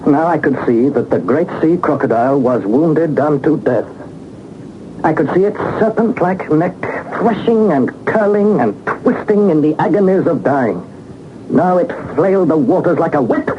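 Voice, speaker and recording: An actor playing a young gentleman adventurer recounting peril in a suspenseful, literary 1960s British radio drama style. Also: medium pitch, background noise, close to an everyday voice. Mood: grave suspense. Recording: dull 1960s radio recording, loud music behind.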